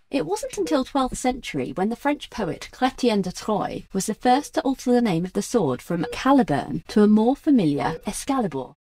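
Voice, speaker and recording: A woman's voice: a little high, warm tone. A woman playing a poised, scholarly assistant in an audio drama, explaining things in a precise, assured manner. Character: Miss Turner, poised scholarly female assistant.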